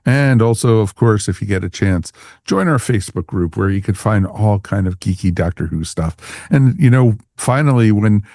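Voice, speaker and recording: A man's voice: on the low side, expressive, normal speed, mellow, no echo, clean studio sound. A man hosting a podcast, chatting casually and informally with co-hosts and listeners. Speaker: podcast host. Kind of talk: casual podcast sign-off chat.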